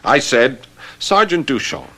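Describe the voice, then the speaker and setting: An actor playing a jealous, ambitious young French policeman in an old radio drama, speaking emphatically with dramatic pauses. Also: medium pitch, very animated, pushed and bright, exaggerated voice, low-quality recording. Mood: earnest, indignant.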